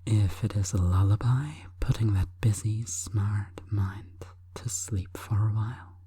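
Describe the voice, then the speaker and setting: A woman hypnotist delivering an intimate sleep hypnosis monologue in a breathy, coaxing, deliberate whisper. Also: very deep voice, fairly even intonation, mellow, dry recording.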